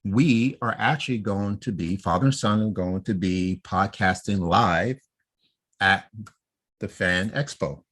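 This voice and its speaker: Podcast host: American male, low-pitched voice, informal discussion style. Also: a little slow, fairly bright tone.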